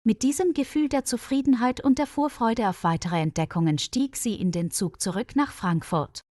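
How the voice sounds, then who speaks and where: A woman's voice: a little high, moderate pace, warm tone, no echo, studio quality. A woman reading a scripted story for language learners as its narrator. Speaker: narrator of stories for German learners.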